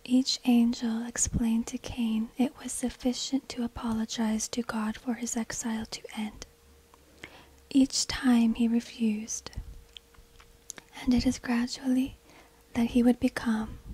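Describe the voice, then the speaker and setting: A woman tells a story in a very breathy, unvoiced whisper. Her delivery is slow and deliberate, with little movement in pitch. She is close to a binaural mic, in a hushed ASMR narrating style. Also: poor audio. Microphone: close binaural microphone.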